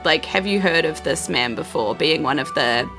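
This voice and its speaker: A woman's voice: low voice, expressive, normal speed, over music. A woman with a New Zealand accent narrating a tabletop game as game master, informal and conversational.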